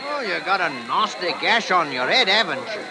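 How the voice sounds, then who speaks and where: A man's voice: high voice, very animated, fairly quick. An actor playing a concerned, helpful working British man in a 1940s radio drama. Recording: old radio broadcast.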